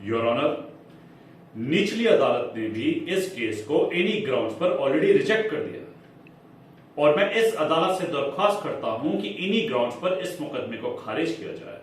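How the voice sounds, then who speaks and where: A man's voice: on the high side, natural voice. Man acting an insinuating defence lawyer, very echoey room. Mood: formal, pleading. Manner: formal, emphatic, with deliberate dramatic pauses. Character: smooth, insinuating male defence lawyer arguing in court. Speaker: acting student performing a monologue. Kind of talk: theatrical courtroom monologue in Hindi with English phrases. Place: indoor acting class room. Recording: echoey room, distant mic, faint noise.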